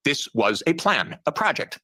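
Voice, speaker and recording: A man speaking as a guest analyst, deliberate and dryly sarcastic in his commentary. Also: a little high, big pitch swings, pushed and bright. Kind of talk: measured commentary.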